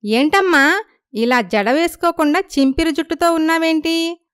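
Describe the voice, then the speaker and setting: A woman voicing a friendly, flattering village sister-in-law who gossips, in a moral story. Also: very high-pitched, moderate pace, no echo, studio quality, voice pushed up. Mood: caring, disdainful.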